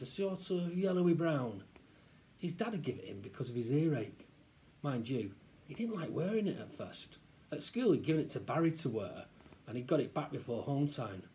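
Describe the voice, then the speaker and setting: A man's voice: medium pitch, dark tone. A middle-aged man with a British accent reading a children's story aloud expressively, recorded at a desk in a small office.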